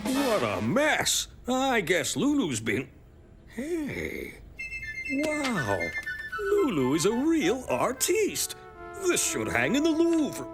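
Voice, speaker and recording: A cheerful, self-satisfied cartoon mother musing to herself. She speaks very slowly, with big pitch swings, over loud music. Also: wildly swooping pitch, heavily acted. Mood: admiring.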